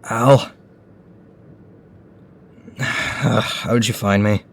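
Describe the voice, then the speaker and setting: A man's voice: fairly low pitch, fairly even intonation, extremely slow, gravelly, exaggerated voice. A man playing a guarded, stubborn rich teenage boy in an audio drama, speaking tersely and hesitantly. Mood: pained. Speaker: voice actor.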